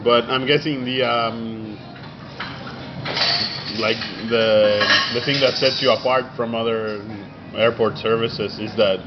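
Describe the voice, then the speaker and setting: A man's voice: mid-range voice, steady tone, slow pace. A man from Argentina interviewing a guest in a casual, hesitant way, with many fillers. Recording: dull recording with music behind.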